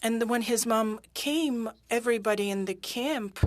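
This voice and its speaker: Slow, halting woman interpreter. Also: on the high side, no echo. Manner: halting, with frequent fillers. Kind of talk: simultaneous interpretation of witness testimony. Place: interpreter booth at a parliamentary hearing.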